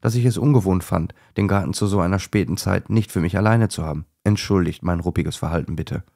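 A man's voice: deep voice, fairly quick, creaky, a little mellow, no echo, studio quality. A man voicing a grumpy, easily flustered female owl in a children's story. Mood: apologetic.

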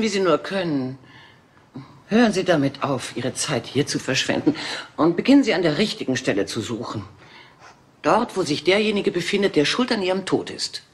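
An actress dubbing an aging Hollywood film diva in a German crime drama, theatrical and imperious. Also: female voice, deep voice, breathy edge, heavily acted.

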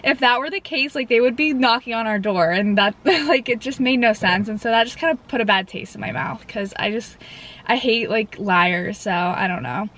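A woman in her twenties, slightly hoarse from a cold, chatting casually to a dashboard camera in a parked car, with lots of "like" and "um". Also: fairly high pitch, normal speed, fairly bright tone. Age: 20s. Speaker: vlogger. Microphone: camera mounted on dashboard.

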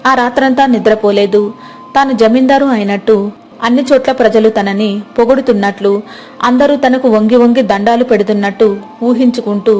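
A woman narrating a moral folk tale in an even, clear storytelling manner. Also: on the high side, a little hiss or hum. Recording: music playing behind.

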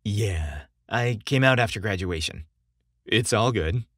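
Man playing a shy, animated young man. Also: lowish voice, measured pace, no echo, clean studio sound. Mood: friendly, inviting. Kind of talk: audio story character dialogue.

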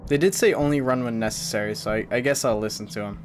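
A man's voice: medium pitch, quick pace. A man in his twenties giving casual, wry, jokey commentary on a horror game as he chats to viewers. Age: 20s. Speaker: gaming streamer. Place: small indoor streaming room. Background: game music.

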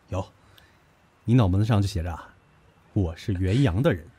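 Man acting husky, possessive young lover. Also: deep voice, expressive, measured pace, warm tone, exaggerated voice. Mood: playfully possessive. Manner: emotional, insistent. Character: passionate, possessive, boyish young man in love. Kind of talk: Chinese audio drama acting.

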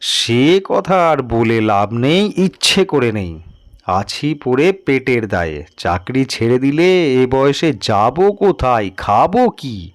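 A man's voice: medium pitch, very animated, slow pace, no room sound, studio quality. A man voicing a weary senior sub-inspector in an audio story, speaking in a grave, resigned, emphatic way. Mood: resigned, bitter.

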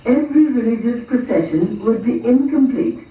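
A man giving a formal, scripted stage introduction as a puppet show announcer, speaking in a measured, presentational way. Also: extremely high pitch. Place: theatre, recorded from the audience over speakers. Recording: very echoey, tinny, distant hall PA with noise.

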